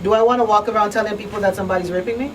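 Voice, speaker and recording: A woman's voice: mid-range voice, steady tone, rapid-fire. A woman hosting a radio talk show, speaking conversationally and emphatically, repeating phrases to drive her points home. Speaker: talk show host and crisis counselor. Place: radio studio. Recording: noisy radio room, slightly distant mic.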